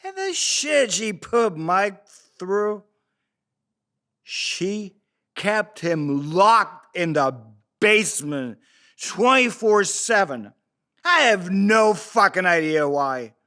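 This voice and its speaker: A man playing a crude, bitter, drunken father making excuses in a halting, rambling table-read monologue. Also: high-pitched, very animated, bright, forceful tone, exaggerated voice. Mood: rambling, resentful. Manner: slurred, halting drunken delivery with rambling asides.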